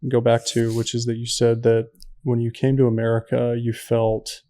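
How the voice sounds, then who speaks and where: A man's voice: mid-range voice, monotone, normal speed, mellow, no room sound, studio quality. A man hosting a podcast, putting questions to his guest in a conversational interview style.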